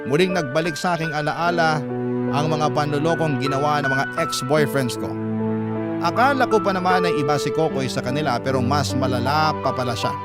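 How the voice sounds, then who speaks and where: A man's voice: fairly high pitch, fairly quick, over loud music. A man with a Filipino accent giving dramatic first-person narration of a listener's letter as a radio host.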